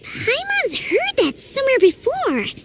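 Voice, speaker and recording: A woman voicing a tiny, excitable, childlike fairy companion in an anime game, with a squeaky voice. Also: slow pace, noisy background, full character voice. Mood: curious.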